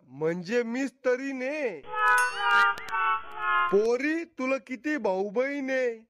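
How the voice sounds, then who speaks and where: A man's voice: extremely high pitch, expressive, slow pace, loud music behind the voice, exaggerated voice. A man voicing a blunt, grumpy old village grandfather with a mocking drawl in a cartoon comedy. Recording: dull, lo-fi cartoon audio.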